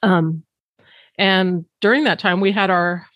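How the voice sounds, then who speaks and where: A woman's voice: on the low side, unhurried, no echo, highs cut off. Chatty, laughing woman podcast host. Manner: laughs often while talking, loose and chatty. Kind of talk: casual conversation.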